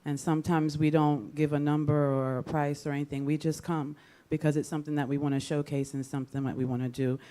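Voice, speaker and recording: A woman's voice: sits low, little pitch movement, quick pace, mellow. A woman speaking informally as a radio host on a panel, with an upbeat, playful way of talking. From Jamaica. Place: live panel event with an audience.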